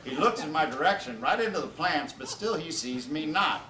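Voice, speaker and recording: A man's voice: fairly high pitch, lively intonation, moderate pace, bright, forceful tone. Man reading aloud, distant and roomy. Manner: informal asides to the listeners. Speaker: author reading from his own book. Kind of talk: comedic storytelling, reading aloud to an audience. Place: live reading at a bookstore. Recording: roomy, distant, noisy live event recording.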